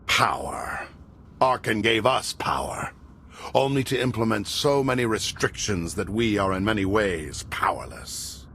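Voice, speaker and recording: An actor playing a menacing, devout and volatile gang leader in a video game, with a theatrical, grandiose delivery. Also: male voice, fairly low pitch, animated, measured pace, a bit bright, slight background noise. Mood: grave, scornful. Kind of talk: dramatic video game acting.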